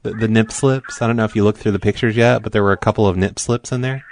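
Man posing as a low-voiced, pushy store clerk. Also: steady tone, fast, darkish tone, a little hiss or hum, close to an everyday voice. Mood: matter-of-fact accusing. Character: pushy, rude photo lab clerk, accusing and deadpan. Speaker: prank caller. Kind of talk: prank phone call.

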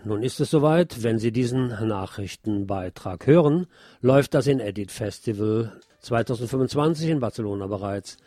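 A male news presenter with a medium-pitched, somewhat dark and mellow voice. He reads scripted reports with a wry, colloquial touch. The sound is dry, with little room around it. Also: dry recording.

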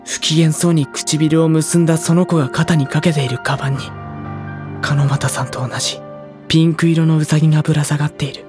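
A man's voice: on the high side, fairly even intonation, fairly quick, music in the background, natural voice. Sensitive teenage boy narrator, acted by a man. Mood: uneasy, shaken. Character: sensitive, anxious high school boy narrating his unease. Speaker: narrator and character. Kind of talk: dramatized first-person narration.

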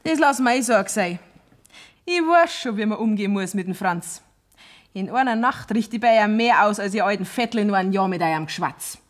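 A woman's voice: medium pitch, expressive, moderate pace, close to an everyday voice. An actress playing a scheming, brazen young farm woman in a German radio drama, insolent and self-assured, with a Bavarian accent. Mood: defiant, smug.

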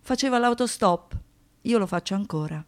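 A woman giving scripted dramatic narration as a monologue, in measured, short rhythmic phrases. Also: medium pitch, lively intonation.

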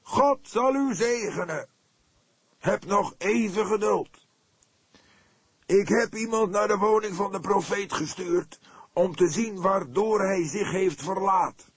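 A man voicing a tense, fervent congregation leader of about sixty in an audiobook, breathy, peremptory and unctuous. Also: sits high, takes it slowly, no echo, pitched above the performer's own voice, heavily acted. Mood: unctuous, loud.

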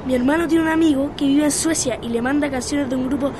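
A high-voiced performer playing an easygoing, joking young skateboarder with a Chilean accent in a radio drama. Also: fairly even intonation, quick pace, noisy background, natural voice. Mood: casual.

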